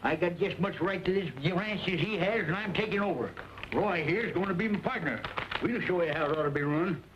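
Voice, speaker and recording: An actor playing a feisty, folksy rancher with a drawl in an old Western, scrappy and quick to fight. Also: male voice, high-pitched, close to an everyday voice. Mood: defiant. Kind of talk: old Western film acting. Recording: muffled, hissy old film soundtrack.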